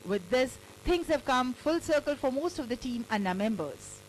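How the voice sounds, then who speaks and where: A woman's voice: high-pitched, expressive, normal speed, a little hiss or hum, rough recording. A woman with an Indian accent reading a scripted television news report as a reporter.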